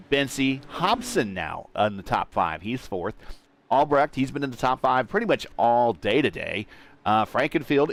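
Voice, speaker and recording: Male sim racing play-by-play caller. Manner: steady play-by-play, rising loud and high at restarts. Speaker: lead race commentator. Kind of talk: sim racing broadcast commentary.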